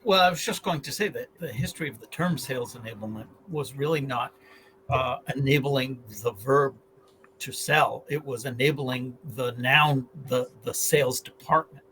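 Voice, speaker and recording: A man's voice: medium pitch, moderate pace, a bit bright, a little hiss or hum, poor audio. A man on a livestream panel, earnestly telling a story from his career to make his point.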